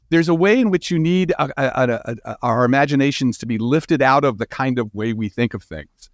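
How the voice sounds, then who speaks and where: A man's voice: medium pitch, no echo, studio quality. A man speaking as a guest scientist in a conversational interview, enthusiastic and emphatic, often tagging his points with "right?".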